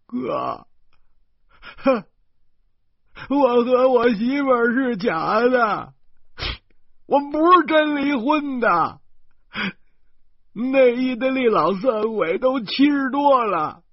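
A man voicing a weepy, aggrieved younger brother who wails in a high, sobbing voice. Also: expressive, slow pace, long pauses, no echo, lo-fi sound, heavily acted. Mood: wailing. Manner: wailing, high-pitched, sobbing.

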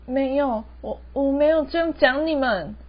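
A woman's voice: high-pitched, normal speed, lightly acted. A young woman with a Taiwanese accent playing a meek, spoiled city daughter-in-law in an amateur radio play, whiny and pleading. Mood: tearful, defensive. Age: young adult. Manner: whiny, sprinkles English words into speech. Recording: dull, lo-fi recording.

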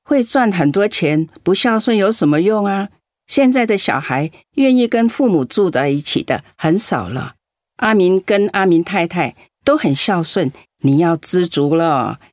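A woman's voice: lowish voice, lively intonation, unhurried, darkish tone, no echo, studio quality. An actress playing a chatty, frank and caring elderly neighbour woman in a radio drama. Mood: frank advice.

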